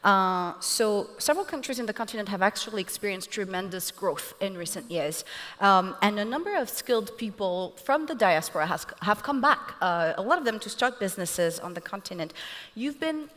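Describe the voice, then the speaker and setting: Moderator: woman, mid pitch, measured questions, hall echo. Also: lively intonation, a bit bright. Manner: inquisitive, measured. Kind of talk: moderating a panel. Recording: echoey hall.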